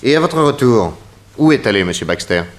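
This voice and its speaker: An actor playing a calm, shrewd detective in a 1960s French radio drama, probing and methodical, politely commanding. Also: male voice, medium pitch, a little fast, natural voice. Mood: questioning. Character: calm, shrewd male detective leading an inquiry.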